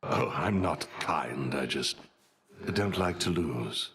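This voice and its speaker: A sly, self-assured underworld man for a video game. He has an extremely deep voice and talks in a cool, unhurried way. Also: animated, a bit bright, slight background noise, heavily acted.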